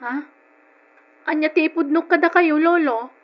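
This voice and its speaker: An actress plays a young woman with a very high voice and an Ilocano accent. She is anxious and pleading, in the emotional manner of old radio drama. The sound is dull and lo-fi. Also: moderate pace, lightly acted. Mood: hesitant, evasive.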